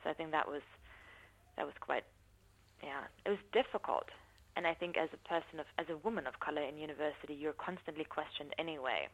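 A woman giving thoughtful, measured interview answers, often pausing to rephrase her points. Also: deep voice, rough recording. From not from New Zealand. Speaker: interviewee, university researcher. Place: New Zealand.

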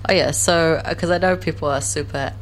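A woman's voice: low voice, steady tone, brisk, a little hiss or hum. A woman, chatting animatedly as a guest in an interview, laughing often and saying "like" a lot. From New Zealand. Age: late 20s. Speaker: guest, co-creator of a series. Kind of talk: casual interview chat.

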